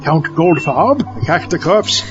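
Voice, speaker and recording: A breezy, unbothered mad doctor played by a man in a horror comedy. His voice sits fairly high and moves around briskly in pitch. Music plays clearly behind the slightly dull recording. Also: expressive. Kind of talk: comedy horror audio skit.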